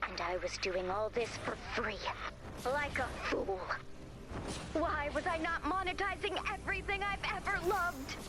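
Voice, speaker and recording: A woman's voice: high-pitched, measured pace. A woman playing a wry, mischievous, self-dramatizing young woman, droll and theatrical, in comedic game dialogue. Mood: dramatic storytelling. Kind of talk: comedic animated game storytelling.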